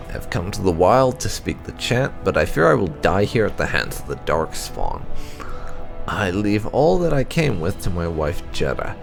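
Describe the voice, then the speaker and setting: A man narrating game lore notes aloud in a measured, storyteller-like way. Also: sits low, gravelly. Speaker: gaming video host.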